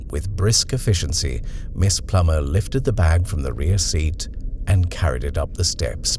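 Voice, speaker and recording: A man narrating a horror short story in an expressive, suspenseful reading style. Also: low voice, creaky, noisy background.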